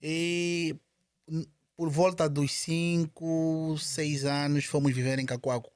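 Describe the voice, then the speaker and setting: A man's voice: fairly high pitch, flat delivery, slow, a little mellow, no room sound, clean studio sound. A man with an Angolan Portuguese accent, talking as an interview guest and often stumbling and repeating words. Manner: hesitant, with many repeated words and restarts. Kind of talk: casual interview conversation.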